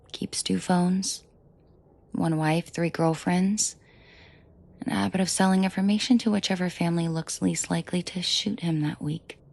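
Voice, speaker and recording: A woman playing a menacing, protective and flirtatious mafia boss in an intimate audio roleplay, with a breathy, husky voice and dry sarcasm. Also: a little mellow, full character voice. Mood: dry, simmering. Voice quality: breathy, husky low voice with vocal fry. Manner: slow, deliberate, dryly sarcastic, often dropping to a murmur. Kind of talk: intimate audio roleplay acting addressed to the listener.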